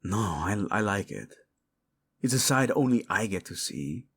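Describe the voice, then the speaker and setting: A male voice actor playing a devoted, possessive royal husband in an intimate romantic audio roleplay, with a husky low voice and formal, teasing speech. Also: animated, heavily acted. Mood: flirtatious, yielding. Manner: slow, hushed, teasing, formal wording.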